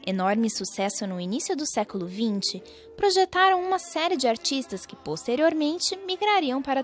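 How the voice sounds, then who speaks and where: A young Brazilian woman reading scripted documentary narration. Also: high-pitched. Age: young adult. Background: music.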